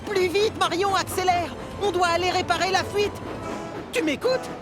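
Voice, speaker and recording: Woman doing a boyish little train engine, high voice, eager and earnest, kids cartoon w music behind. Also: steady tone, normal speed, a crowd in the background. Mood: urgent. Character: friendly, earnest young male tank engine. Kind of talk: children's animated series dialogue.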